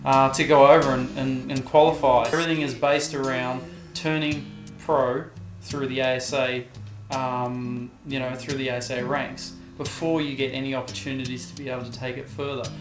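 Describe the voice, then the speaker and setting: Australian male skater, casual reflective interview delivery, mid-pitched, roomy sound with guitar music underneath. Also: little pitch movement, moderate pace, few pauses. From Tasmania, Australia. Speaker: professional vert skater, interviewee.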